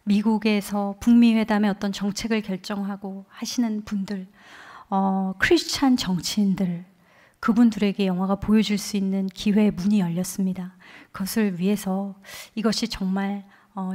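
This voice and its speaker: A woman in her forties speaks from a church platform, sharing an earnest testimony. Her voice sits at an ordinary middle pitch. She tells her story with quiet conviction through a microphone. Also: normal speed. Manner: earnest storytelling, quoting others with lively emotion. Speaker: guest speaker at a church revival. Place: church sanctuary.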